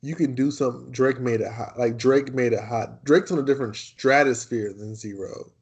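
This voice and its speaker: A man's voice: mid-range voice, fairly even intonation, moderate pace. Laid-back young Texan man, podcast co-host. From Texas. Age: 20s. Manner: laid-back, repeats himself, laughs easily. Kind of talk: casual chat.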